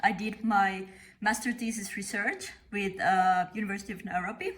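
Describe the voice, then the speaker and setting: A middle-aged woman from Finland with a Finnish accent, speaking as a company founder in an interview, measured and thoughtful with frequent pauses. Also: medium pitch, steady tone, a bit bright. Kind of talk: interview monologue.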